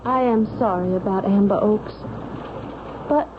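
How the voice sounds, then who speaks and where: An actress playing a dutiful grown daughter, anxious to please, in a 1970s radio drama. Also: female voice, mid-range voice, measured pace, close to an everyday voice. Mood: eager, placating. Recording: tinny, noisy vintage radio recording.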